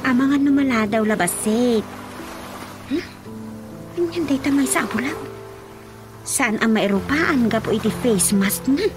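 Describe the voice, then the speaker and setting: Actress as high-voiced Ilocano village busybody over music. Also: female voice, lively intonation, a little slow, long pauses. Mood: gossipy. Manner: exclamatory, with repeated interjections. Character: gossipy, fussing village churchgoing woman. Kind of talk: radio drama acting. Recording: radio drama with loud music bed. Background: background music.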